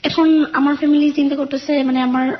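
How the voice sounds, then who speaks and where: A woman's voice: sits high, flat delivery, fairly quick, lo-fi sound. A young woman with a Bangladeshi accent giving a personal account as an interview guest. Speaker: guest telling her life story.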